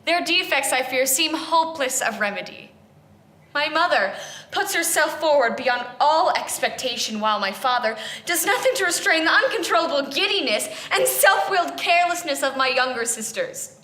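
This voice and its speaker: A proud young gentlewoman of the Regency stage, acted by a woman, speaks in a high, bright voice. Her delivery is formal and measured, full of self-reproach, as in a theatrical monologue. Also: expressive. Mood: ashamed, indignant.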